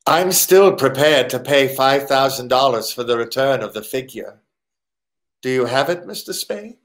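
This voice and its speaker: A man playing a courteous but menacing foreign gentleman crook in a 1940s-style radio drama, with formal, deliberate phrasing. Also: mid-range voice, expressive, close to an everyday voice. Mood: guarded, polite.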